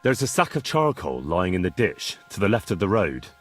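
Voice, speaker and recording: An actor playing a polite, inquisitive young medieval blacksmith's lad with a husky voice, in a video game. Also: male voice, low voice, very animated, moderate pace, soft background music. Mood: earnest. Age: young adult. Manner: courteous, inquisitive.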